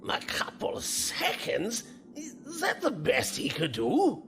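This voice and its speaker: An excitable, moralistic professor in a science fiction audio drama. The voice is gravelly and swoops wildly, drawing out words theatrically. Music runs clearly underneath. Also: male voice, very high-pitched, heavily acted. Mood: dismayed. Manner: theatrical, drawn-out exclamations.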